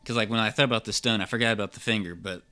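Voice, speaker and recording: Man, lowish bright voice, casual podcast chat, halting and rambling, faint music behind. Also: steady tone. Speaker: podcast co-host.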